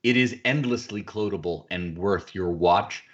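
A man's voice: deep voice, unhurried, lo-fi sound. A man hosting a podcast, recapping a film in casual, chatty, wordy banter. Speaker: podcast host.

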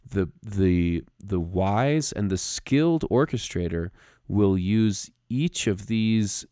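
A man's voice: on the low side, lively intonation, takes it slowly, dark tone, no room sound, studio quality. A man co-hosting a podcast, casually explaining music with emphatic, stop-start phrasing. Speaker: podcast co-host.

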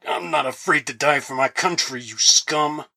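A gruff older male senator voiced by a woman narrator, growling and defiant. The voice is husky and low for a woman, with a forceful edge and animated pitch. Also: unhurried, no room sound, clean studio sound, heavily acted. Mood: defiant anger.

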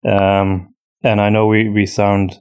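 Halting man with a Flemish accent. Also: on the low side, completely flat delivery, darkish tone, smooth. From Flanders, Belgium. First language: Dutch. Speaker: podcast host. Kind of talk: casual chat, answering listener questions.

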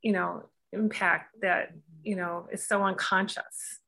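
Woman host, flat monotone, gravelly, meandering hesitant questions. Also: medium pitch, takes it slowly. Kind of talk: casual conversation.

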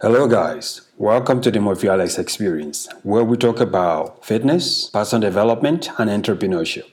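A man's voice: on the low side, a little mellow. A man hosting his own podcast, giving an earnest, explanatory solo talk straight to his listeners. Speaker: podcast host.

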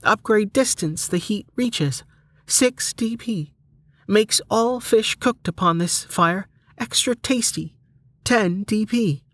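A narrator voicing a magical game menu that announces items and prices in a formal, measured, obliging way for an audiobook. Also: mid-range voice, a bit bright, dry recording, voice pushed up.

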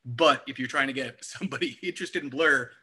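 A man with a very sharp, forceful tone and a rough, husky edge. He chats casually about music, opinionated and enthusiastic, like a panelist among fellow fans. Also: mid-range voice, a little fast. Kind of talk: casual album discussion.

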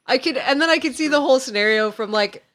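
A woman in casual chat with friends about their tabletop game, playful and quick to laugh. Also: sits high, fairly bright tone. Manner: playful, laughs while talking. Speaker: podcast cast member.

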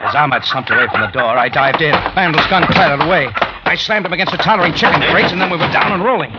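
An actor playing a tough, brisk American cafe owner who narrates his own adventure in a hardboiled radio drama, curt and slangy. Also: on the high side, heavy background noise, close to an everyday voice. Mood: urgent narration. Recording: muffled old radio recording, music behind.

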